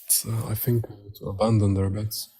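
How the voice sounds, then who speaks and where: A man's voice: lowish voice, flat delivery, normal speed, mellow, dry recording. A man on a live stream as a caller in a casual argument, interjecting for his turn, his voice run through a voice changer. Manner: interjecting, asks for a moment to speak.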